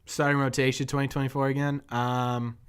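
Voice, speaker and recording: A young man with a New England accent and a hoarse voice at a middle pitch. He hosts a loose live-stream sports chat, thinking aloud, rambling and chuckling as he answers his viewers. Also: little pitch movement, fairly quick. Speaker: sports podcast host.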